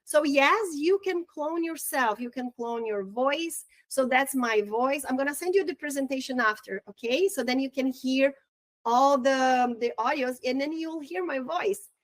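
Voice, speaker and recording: A woman with a Brazilian accent and Portuguese as her first language talks through a casual online training. Her voice sits high and the sound is dry and close. She is chatty and upbeat and often stumbles and restarts her words. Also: a little slow, no echo. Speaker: real estate trainer.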